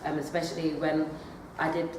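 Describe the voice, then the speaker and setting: Hesitant young woman, low voice. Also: flat delivery, a little fast. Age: young adult. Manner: hesitant, many fillers and restarts. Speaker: drama student. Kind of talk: assessment interview answers. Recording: echoey room, far from the mic, noisy.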